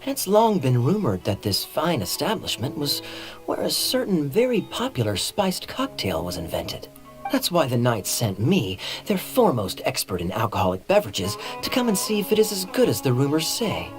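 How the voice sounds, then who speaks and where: A man playing a suave, sly young knight captain in a video game, teasing and evasive, with smooth theatrical irony. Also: mid-range voice, wildly swooping pitch, moderate pace. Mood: playful, teasing. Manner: smooth, theatrical, playfully ironic. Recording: soft music behind the voice.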